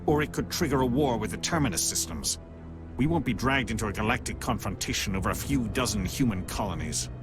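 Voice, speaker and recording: Game councilor, male alien played by a man: stern, dismissive, formal, clear music underneath. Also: mid-range voice, animated, fairly quick, close to an everyday voice. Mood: skeptical, dismissive. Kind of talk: video game cutscene acting.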